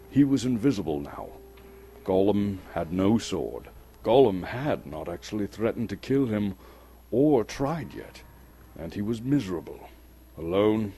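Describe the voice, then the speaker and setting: A man giving a dramatic, suspenseful reading of a story, theatrical and full of deliberate pauses. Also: low voice, mellow, slight background noise. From Canada. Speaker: storyteller, actor reading an audiobook chapter. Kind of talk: dramatic scripted narration. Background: music under the voice.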